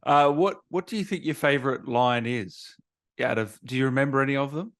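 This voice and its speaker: A man's voice: mid-range voice, fairly quick, fairly bright tone. A man interviewing a guest in a casual, chatty style. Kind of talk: casual chat.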